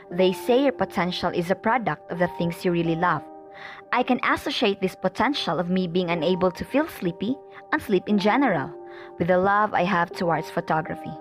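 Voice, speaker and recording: A woman's voice: medium pitch, lively intonation, moderate pace, natural voice. A woman playing a friendly, chatty female student in an amateur radio drama. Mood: friendly, open. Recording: faint music behind voice.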